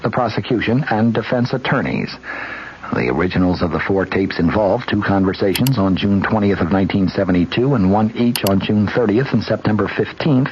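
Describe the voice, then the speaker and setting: Low-voiced male radio newscaster. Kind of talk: formal scripted news reading. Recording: dull, noisy old radio broadcast.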